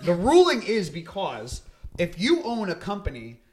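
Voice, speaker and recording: A man's voice: high voice, wildly swooping pitch, a little slow. A man hosting a casual comedy podcast, rambling through stories and laughing at his own jokes. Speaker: podcast host.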